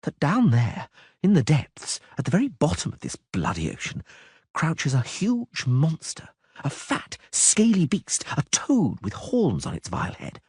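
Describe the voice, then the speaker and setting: Brief: a man playing a restless gossiping bard, higher and jumpy. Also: breathy edge, no room sound, clean studio sound, full character voice. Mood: chatty. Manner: lively, quick and mocking. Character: chatty excitable male bard.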